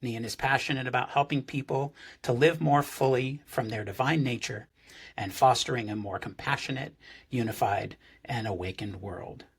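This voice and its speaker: A man hosts a podcast, introducing his guest and then asking about her life. His voice is slightly husky, and his friendly questions stall on plenty of ums and uhs. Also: medium pitch. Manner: friendly, halting with many fillers. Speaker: podcast host. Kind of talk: casual interview.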